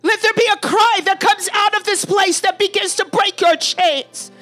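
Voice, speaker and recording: A woman's voice: very high-pitched, normal speed, a bit bright, faint music behind. A woman preacher leading fervent charismatic prayer, urgent and pleading, exhorting with repeated phrases.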